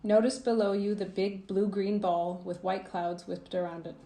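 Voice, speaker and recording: A woman narrating a guided visualisation as a coach, in a soothing, gentle, measured manner with phrases that trail off softly. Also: medium pitch, fairly even intonation, reverberant. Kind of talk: guided meditation, scripted narration.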